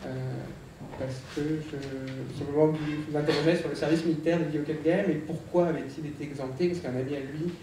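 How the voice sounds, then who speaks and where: Panel speaker, man, slightly high voice, halting delivery full of fillers; far from the mic in a very echoey hall. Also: fairly even intonation, background noise. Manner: hesitant, many fillers and restarts. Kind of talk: conference panel talk. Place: large lecture hall.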